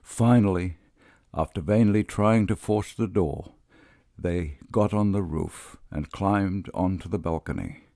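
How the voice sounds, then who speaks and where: A man reading literary prose and verse as an audiobook narrator, in a measured, expressive storytelling way. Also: sits low, gravelly, no echo, studio quality.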